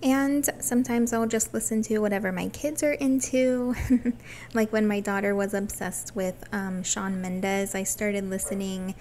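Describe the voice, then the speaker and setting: A cheerful woman in her thirties from Florida talks her viewers through a cleaning session, laughing easily as she answers their questions. Her voice sits in a mid pitch and is caught by a phone camera set across a home bathroom, with a faint hum underneath. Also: steady tone, measured pace, darkish tone. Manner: cheerful, chatty, laughs often. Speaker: vlogger. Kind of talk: chatty cleaning vlog Q&A. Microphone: phone camera across the room.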